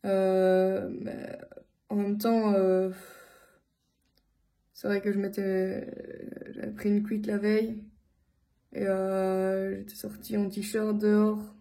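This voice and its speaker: A woman from Belgium giving a casual personal account to her followers, speaking in a halting way with many filled pauses. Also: medium pitch, completely flat delivery, mellow. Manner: hesitant, halting delivery with frequent long filled pauses. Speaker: vlogger addressing followers.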